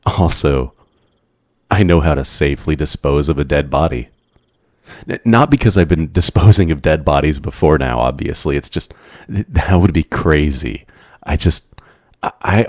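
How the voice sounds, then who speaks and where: A man performs an awkward, lovestruck young survivor in an ASMR boyfriend roleplay. His voice is extremely low and unhurried, with hesitant, soft-spoken phrasing and an obsessive devotion. The recording is close and dry. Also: dry recording. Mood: flustered. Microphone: close microphone, dry sound.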